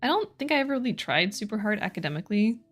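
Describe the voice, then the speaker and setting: A woman's voice: mid-range voice, big pitch swings, rapid-fire, a bit bright, faint music behind. A young adult woman streamer chatting casually with viewers while she draws, giggling often and poking fun at herself. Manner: chatty, giggly, self-deprecating.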